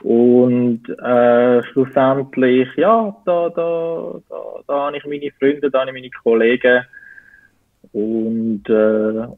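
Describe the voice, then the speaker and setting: A man's voice: mid-range voice, level tone, slow pace, dry recording. A man in his twenties with a Zurich Swiss German accent, answering interview questions and searching for words as he goes. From Switzerland. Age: 20s. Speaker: interview guest. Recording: tinny phone-like call audio.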